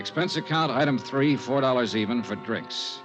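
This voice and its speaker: Casting: man playing shrewd radio detective, hard-boiled and smooth-talking, widely swinging intonation, dated dull recording. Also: mid-range voice, animated, normal speed, lightly acted. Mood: wry, reflective. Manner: clipped hard-boiled narration and probing questions. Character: shrewd, smooth-talking male insurance investigator narrating his case. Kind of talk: 1950s radio detective drama acting. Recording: old muffled radio recording, faint music.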